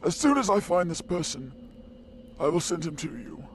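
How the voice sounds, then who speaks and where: A man voicing a vengeful creature who speaks slowly and broodingly. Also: a little high, big pitch swings, noisy background.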